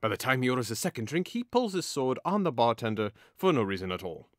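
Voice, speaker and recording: Guy acting a cocky hothead young noble, taunting and theatrical, very animated pitch, dry studio sound. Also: fast talker, no room sound, lightly acted. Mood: teasing, mocking. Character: hot-headed, mocking young nobleman itching for a sword fight. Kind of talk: audio drama acting.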